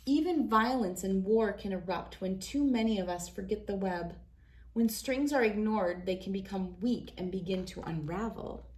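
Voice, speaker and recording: A woman hosts a read-aloud of a picture book for children. Her voice sits at a medium pitch. She phrases the story deliberately and expressively, as a storyteller does. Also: darkish tone, poor audio.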